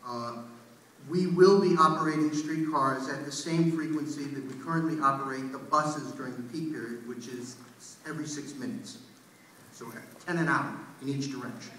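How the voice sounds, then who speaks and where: Male official, halting formal briefing, mid-pitched, distant in a big roomy space with slight hiss. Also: a bit bright. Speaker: transit program official. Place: large indoor public meeting room. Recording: very echoey hall, distant mic, faint noise.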